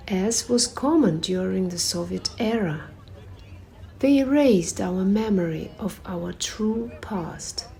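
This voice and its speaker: A woman reading a personal essay aloud at a public reading, in a measured, reflective way with pauses between phrases. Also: mid-range voice, a little mellow, slight background noise. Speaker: reader at a performative reading.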